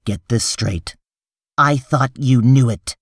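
A man's voice: mid-range voice, takes it slowly, dry recording, voice pushed up. Guy reading as a touchy official making excuses, insistent and exasperated, dry audiobook sound. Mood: cold, insistent. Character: tense, defensive, irritable male official making excuses.

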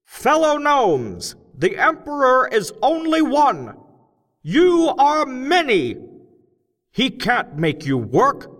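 A man voicing a man turned gnome, rousing a crowd of miners with loud, slow, impassioned speech. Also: very high voice, huge pitch swings, big-room sound, voice pushed up, heavily acted.

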